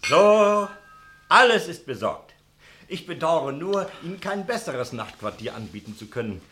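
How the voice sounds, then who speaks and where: A man's voice: fairly high pitch, big pitch swings, unhurried, bright, forceful tone, slight background noise, heavily acted. An actor playing an obliging male innkeeper in a German radio play, courteous and emphatic as he explains and reassures. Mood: apologetic.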